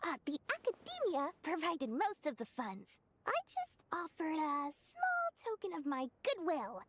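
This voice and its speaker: A little merchant lady from a video game, high-pitched and very animated. She gushes, wheedles and dramatizes everything, always with money on her mind. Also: a little slow, full character voice. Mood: wheedling, lamenting. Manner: exaggerated, dramatic delivery. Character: small, theatrical, money-minded female merchant, gushing and wheedling.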